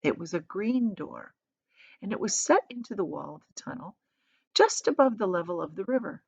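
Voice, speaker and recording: A woman reads a children's story with dramatic emphasis. Her mid-pitched voice moves up and down a lot, and it is recorded dry and slightly muffled. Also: lively intonation, moderate pace, gravelly, breathy edge, no room sound. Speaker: storybook reader, teacher. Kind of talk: expressive children's story read-aloud.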